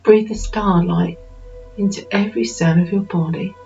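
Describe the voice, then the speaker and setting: A woman narrating a guided meditation in a slow, soothing manner with unhurried pauses. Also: lowish voice, fairly even intonation, vocal fry, a little mellow. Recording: dull lo-fi recording with music behind.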